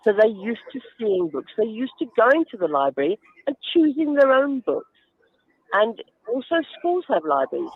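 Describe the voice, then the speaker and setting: A woman's voice: fairly high pitch, sing-song, normal speed, husky, narrow, tinny audio. A woman giving explanatory interview answers as a guest, instructive and emphatic, with everyday examples. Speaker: guest author and reading advocate.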